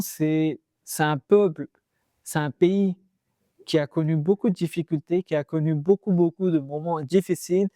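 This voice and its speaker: Earnest man, slightly high voice. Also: dark tone, no room sound, clean studio sound. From Pakistani heritage, living in Pakistan. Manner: earnest, many fillers and restarts. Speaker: vlogger sharing expat advice. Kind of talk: casual talk to camera.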